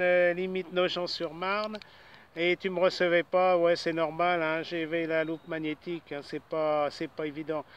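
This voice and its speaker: A man with a high, bright and clean-sounding voice talks casually as an amateur radio operator. He is outdoors at a portable station, passing on news to the other operators in a relaxed conversational style. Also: clear, resonant tone, lo-fi sound.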